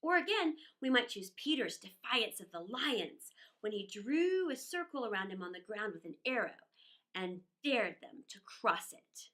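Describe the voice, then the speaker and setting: A woman reading a children's story aloud in an expressive, dramatic way, with musing asides and pauses. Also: medium pitch, low-quality recording.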